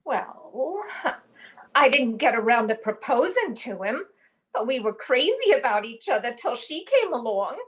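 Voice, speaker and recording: Casting: daffy young showgirl, theatrical and tearful, slangy, slow and wildly sing-song, comic radio play. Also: fairly high pitch, big pitch swings, low-quality recording. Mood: gossipy. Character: daffy lovesick young chorus girl, melodramatic, comically crooked. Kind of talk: old-time comedic radio play acting.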